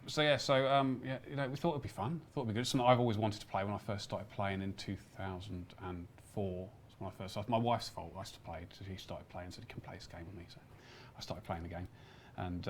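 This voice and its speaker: A man chatting casually as a game developer, telling work stories in an animated way and acting out what people said. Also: deep voice, fast, a little hiss or hum. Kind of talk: casual chat, recounting work memories. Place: live stream room in an office.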